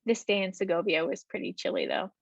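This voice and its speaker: Woman on a zoom call talking about her trip, lots of ums, bit of fry, dry muffled audio. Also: medium pitch, fast talker, no room sound. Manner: hesitant, many ums and restarts. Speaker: library travel talk presenter. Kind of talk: casual Q&A over video call. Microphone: video call. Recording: dull lo-fi video call audio.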